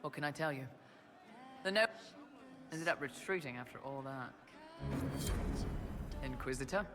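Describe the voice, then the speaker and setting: A plainspoken mercenary lieutenant from a fantasy game, voiced by a man. He speaks bluntly and loyally in a middle-pitched voice. Music plays clearly beneath the dialogue. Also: unhurried, close to an everyday voice. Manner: blunt, plainspoken soldier talk. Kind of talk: video game cutscene dialogue.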